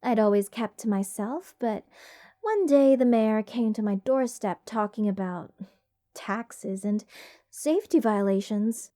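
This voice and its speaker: A woman performs a curse-loving lady mage in an ASMR fantasy roleplay, sweet and more than a little unhinged. Her voice sits fairly high with a very mellow, soft tone. She rambles at a very leisurely pace, wry and soothing, full of fond endearments. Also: a little slow, natural voice. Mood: wry, musing.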